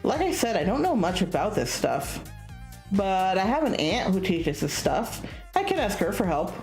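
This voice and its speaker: A woman's voice: medium pitch, sing-song, normal speed, darkish tone, echoey room, soft background music. A woman voicing a tough, loyal young man in a visual novel, blunt but casual and reassuring. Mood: casual, helpful.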